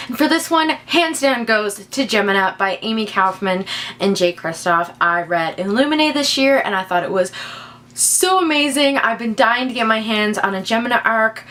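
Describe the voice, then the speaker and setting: A young woman with a somewhat high voice speaks as a book vlogger. She chats informally to her viewers in an enthusiastic, gushing way. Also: fairly bright tone. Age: young adult. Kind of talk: casual chat to camera.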